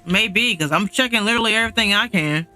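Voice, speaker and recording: Man, high voice, very forward bright tone, slangy live gameplay commentary, reacting as he plays. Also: fast talker, faint music behind. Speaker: game streamer.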